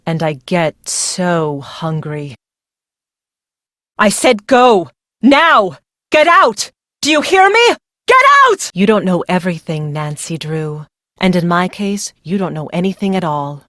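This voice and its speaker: A woman's voice: lowish voice, very animated, takes it slowly, a bit bright, no room sound, clean studio sound, heavily acted. Brooding, secretive young woman. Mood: uneasy, confiding, then furious. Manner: guarded, brooding, dramatic. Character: secretive, fatalistic young woman, unwell and irritable. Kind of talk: scripted video game character dialogue.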